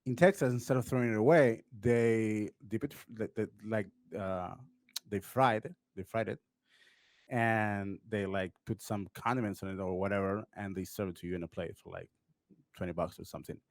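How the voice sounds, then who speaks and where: A man's voice: fairly low pitch, steady tone, normal speed, dry recording, studio quality. A man from Uruguay, a podcast guest chatting casually, rambling with plenty of "like" and "uh". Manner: rambling, heavy on "like" and "uh" fillers.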